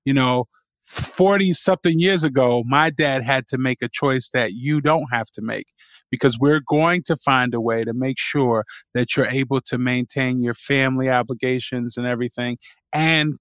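A man's voice: medium pitch, moderate pace, a bit bright, no room sound. A middle-aged man from Queens, a college president telling his life story as a guest in a podcast interview, earnest and reflective, with fillers and restarts. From Queens, New York.

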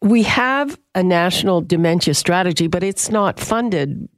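A woman's voice: lowish voice, expressive, unhurried, dry recording. Woman radio host asking pointed questions. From Canada. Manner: direct, probing questions. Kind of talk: radio interview.

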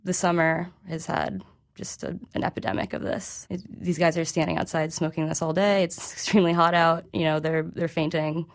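A woman's voice: deep voice, flat delivery, moderate pace, creaky. A woman reporter speaking as an interview guest, explaining informally in a hesitant, conversational way.

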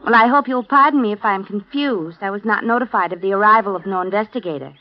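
A woman's voice: medium pitch, quick pace, lightly acted. An actress playing a chatty, nosy young female maid with a wry, colloquial way of talking, in a vintage radio drama. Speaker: personal maid. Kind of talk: 1950s radio drama acting. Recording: dull lo-fi vintage radio broadcast.

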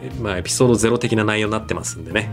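A man's voice: lowish voice, rapid-fire, creaky, over music. A man co-hosting a casual podcast chat in an easygoing, agreeable manner. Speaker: podcast co-host and drama writer.

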